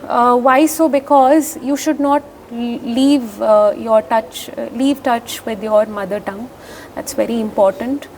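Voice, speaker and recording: A woman's voice: on the high side, a little slow, darkish tone, slight background noise, poor audio. Hesitant Indian woman doctor talking. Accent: Indian English. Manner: hesitant, many fillers and restarts. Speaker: physician, interview guest. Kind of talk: casual interview answers.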